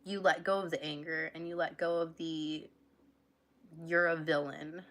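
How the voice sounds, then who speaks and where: A woman's voice: fairly low pitch, fairly bright tone. A young woman hosting a casual livestream, reading aloud and chatting affectionately with her viewers. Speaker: livestream host.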